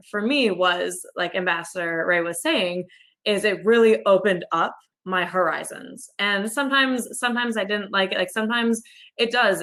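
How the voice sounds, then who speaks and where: Young woman panelist on a video call: lowish, bright voice, chatty, lots of "like". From United States (Michigan). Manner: chatty, many fillers and "like". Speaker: Navy officer panelist. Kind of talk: casual panel talk.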